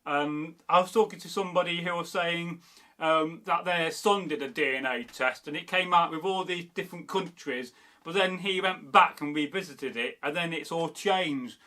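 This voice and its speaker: Guy rambling thru viewer comments, bit higher voice, bright and forceful. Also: moderate pace. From Derby, England. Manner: rambling, meandering, many fillers and restarts. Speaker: history channel host. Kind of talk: casual chat reading viewer comments.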